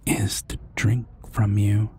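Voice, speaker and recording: A man playing a sadistic, possessive vampire villain in a dark audio roleplay, husky and often near a whisper, deliberate and condescending. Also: on the low side, completely flat delivery, a little hiss or hum, pitched above the performer's own voice. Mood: cold, threatening. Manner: menacing, slow, deliberate, condescending.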